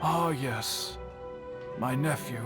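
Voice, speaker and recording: A man voicing a dignified, earnest older master swordsmith in a video game, speaking formally and deliberately. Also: medium pitch. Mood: troubled, restrained. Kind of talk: video game dialogue acting. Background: background music.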